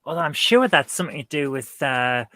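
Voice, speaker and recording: A man's voice: on the high side, big pitch swings, brisk, bright, forceful tone, no echo. A man with a Durham Geordie accent, chatting easily and laughing as a quiz podcast co-host. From Durham, England. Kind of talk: casual chat.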